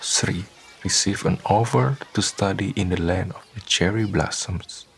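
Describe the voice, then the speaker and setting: Very slow, low-voiced male narrator. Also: steady tone, gravelly, slight background noise. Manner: solemn, deliberate storytelling. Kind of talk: scripted radio drama narration. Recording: voice over prominent music. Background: music.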